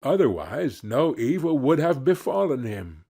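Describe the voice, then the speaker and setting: A man narrating a fairy tale from a script in a measured, deliberate storytelling manner. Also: mid-range voice, sing-song, creaky, mellow, slightly rough.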